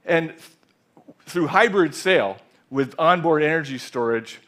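A man in his sixties telling a measured, reflective story in a talk on a large theater stage, with a headset mic. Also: on the high side, lively intonation, fairly bright tone. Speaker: speaker. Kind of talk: conference talk.

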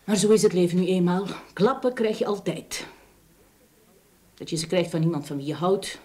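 An actress playing a frank, scornful, devoted middle-aged wife in a radio drama, with an accent from the Netherlands. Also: female voice, on the low side, normal speed, darkish tone, close to an everyday voice. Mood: hurt, resigned. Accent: Dutch from the Netherlands. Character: outspoken, wronged but devoted middle-aged Dutch wife. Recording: old radio play with background hiss.

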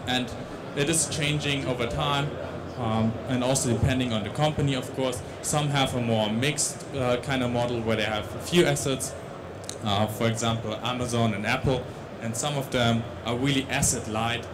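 A man's voice: medium pitch, steady tone, moderate pace, noisy background. A young man with a Dutch accent giving a tech conference talk on a large stage into a handheld mic, with many restarts and fillers. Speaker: conference speaker.

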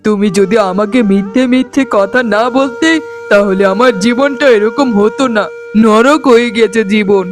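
Man playing a high-voiced, henpecked village husband. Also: moderate pace, natural voice. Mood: regretful, complaining. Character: lovestruck, henpecked, regretful village husband and son. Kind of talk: animated cartoon dialogue. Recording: music behind the voice.

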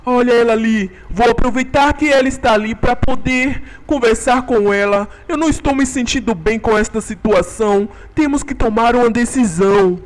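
A man voicing a gentle, worried father dinosaur who reassures his young hesitantly. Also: extremely high pitch, moderate pace, hardly any pauses, a little hiss or hum.

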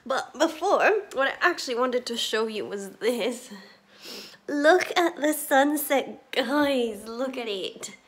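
A woman in her twenties chatting casually to her phone in a small bedroom, full of "like" and little giggles, her voice a bit croaky. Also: sits high, lively intonation, slow. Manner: chatty, lots of "like", giggly asides. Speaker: vlogger. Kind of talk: casual vlog chat. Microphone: phone held at arm's length.

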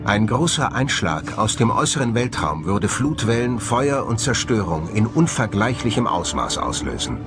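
A man's voice: lowish voice, very animated, normal speed, few pauses. A man giving scripted narration as the presenter of a documentary. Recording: music behind the voice. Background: background music.

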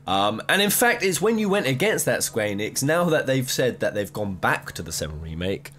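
A young man hosting a gaming video, giving opinionated commentary to camera with an animated, rambling delivery full of restarts, recorded on a desk mic in a small room. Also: mid-range voice, normal speed, a little hiss or hum. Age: young adult. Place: small room, gaming chair, green-screen backdrop.

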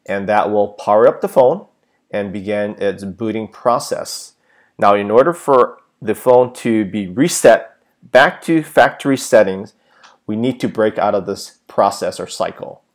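A man's voice: lowish voice, measured pace. A man giving a plain, methodical step-by-step how-to narration, with frequent filler sounds, as a tech tutorial presenter.

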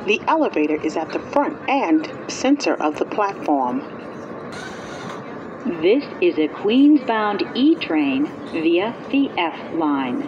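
A woman reading scripted subway announcements in a measured, precisely enunciated way. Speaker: recorded subway train announcer. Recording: muffled, noisy onboard train speaker recording. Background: subway train rumble and noise.

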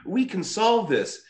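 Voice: medium pitch, fairly bright tone. An actor voicing a killer doll who wheedles and pleads to make amends in a dramatic scene over a video call. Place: indoor room, remote video call. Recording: roomy echoing video-call audio.

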